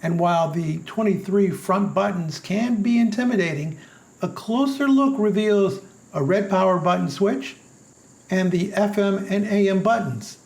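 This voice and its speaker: A man's voice: high voice, unhurried, vocal fry, a little mellow. A man reading a scripted product review as its narrator.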